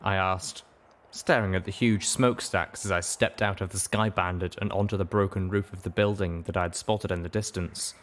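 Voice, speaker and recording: A man's voice: sits low, steady tone, moderate pace, fairly bright tone. A man reading a first-person adventure story aloud as an audiobook narrator, in a scripted storytelling style.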